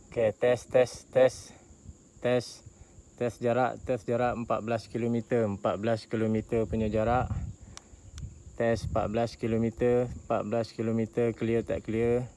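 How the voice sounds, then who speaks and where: Malaysian male vlogger, casual and repetitive, fairly even intonation, faint outdoor noise behind. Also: mid-range voice, moderate pace. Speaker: product reviewer. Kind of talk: casual outdoor vlog talk. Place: outdoors, high up on a tower.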